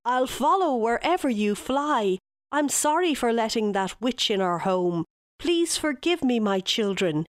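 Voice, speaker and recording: A woman's voice: lowish voice, sing-song, slow pace, hardly any pauses, no room sound. A woman voicing an impassioned king, a devoted father full of grief, in a rhyming storybook tale. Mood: sorrowful. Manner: impassioned, accusing. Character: grieving, angry old-world king and devoted father.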